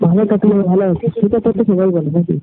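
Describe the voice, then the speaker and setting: A woman's voice: mid-range voice, rapid-fire. A woman in a casual private phone conversation, answering with curt, teasing retorts. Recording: tinny phone line with noise.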